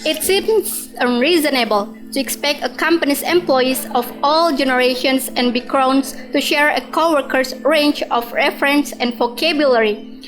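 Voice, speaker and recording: A woman's voice: high voice, normal speed, over music, lightly acted, rough recording. A woman playing a stern, pointed member of a disciplinary panel in a radio drama. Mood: formal, official. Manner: formal, pointed.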